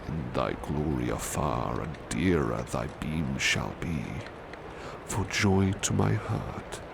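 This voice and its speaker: Man acting as very deep, gravelly butler. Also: noisy background, full character voice. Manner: formal, slightly prickly, dramatic verse delivery. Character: male butler servant, formal, competitive, touchy. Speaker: servant reading poetry at bedtime. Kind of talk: soft-spoken ASMR roleplay, poetry reading.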